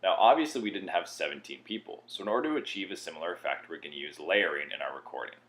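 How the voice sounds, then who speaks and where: A man with a low voice presents a software tutorial. He explains each step in an informal way, as if guiding a beginner through it. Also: expressive, fast.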